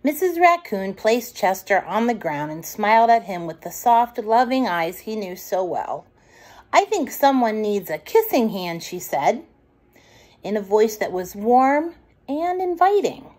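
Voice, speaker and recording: A woman reading a picture book aloud to young children, gentle and expressive. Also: medium pitch, unhurried. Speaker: children's storytime reader.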